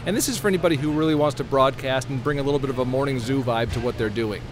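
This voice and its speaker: A man talks quickly and eagerly about products, in an upbeat, salesy way. He is a guest on a casual podcast recorded at a trade show booth. Setup noise and the bustle of the show hall are clearly heard behind him. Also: mid-range voice.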